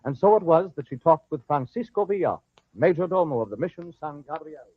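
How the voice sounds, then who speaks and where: Male radio narrator, slightly high, formal storytelling, dry and muffled vintage recording. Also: lively intonation, a little fast, no room sound. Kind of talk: scripted old-time radio narration.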